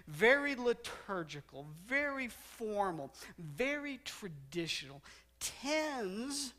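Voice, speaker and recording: A middle-aged man preaching a sermon in a church sanctuary, walking the stage, gesturing, and slowing down to stress repeated words. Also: high-pitched, sharp tone. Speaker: pastor.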